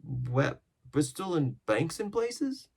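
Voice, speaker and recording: A man voicing an eager young sailor lad, pitched well above his own voice. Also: sing-song, takes it slowly, creaky, husky, exaggerated voice.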